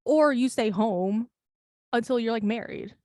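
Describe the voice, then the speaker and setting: A woman's voice: on the high side, a little slow, dark tone, no echo, clean studio sound. A young woman co-hosting a podcast in casual chat, rambling with lots of fillers, laughing often and swearing. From United States. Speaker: podcast co-host.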